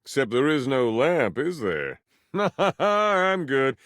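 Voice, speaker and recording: A man's voice: mid-range voice, sing-song, slow, fairly bright tone, no echo, studio quality. A man voicing a sassy, muscular djinn with attitude, cocky and sarcastic, in a fantasy game. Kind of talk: fantasy game character acting.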